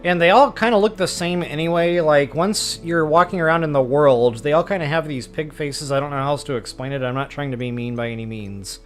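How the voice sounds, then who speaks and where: A man's voice: a little high, brisk, few pauses, faint music behind. A man hosting a game stream, chatting casually to viewers in an informal, self-deprecating, rambling way. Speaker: host of a video game walkthrough stream.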